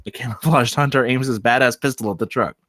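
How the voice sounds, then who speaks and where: A man's voice: medium pitch, quick pace, fairly bright tone, no echo, studio quality. A man narrating, reading screenplay action aloud at a table read. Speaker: script reader, narrator.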